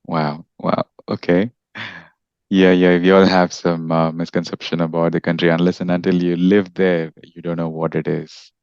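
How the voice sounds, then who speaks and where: A young man from India hosts a casual podcast interview. His voice sits low, and he talks in a friendly way, often stopping and restarting. The recording is dry and a little muffled. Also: level tone, moderate pace, vocal fry, darkish tone, clear, resonant tone, no echo. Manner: friendly, with frequent restarts and fillers. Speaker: podcast host.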